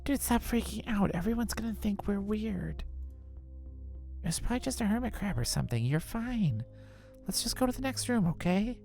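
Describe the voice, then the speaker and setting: A woman playing a bossy, dismissive teenage girl in a visual novel, with a brisk, exasperated, casual delivery. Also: medium pitch, expressive, vocal fry, a little mellow. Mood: impatient, dismissive. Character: bossy, dismissive teenage girl nudging a nervous friend along. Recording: music under the voice.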